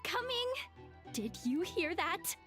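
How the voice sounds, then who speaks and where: A small, childlike sidekick from a video game, with an extremely high voice that bounces up and down. She is bubbly, friendly and casual, and takes her time over the words. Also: big pitch swings, slow, a bit bright, faint music behind, full character voice. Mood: flustered, eager.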